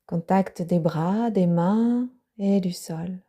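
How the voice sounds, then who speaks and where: A woman yoga teacher guiding a yoga nidra relaxation, speaking softly with breathy, half-whispered phrases. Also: fairly low pitch. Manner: slow, soft-spoken guidance with breathy, half-whispered phrases.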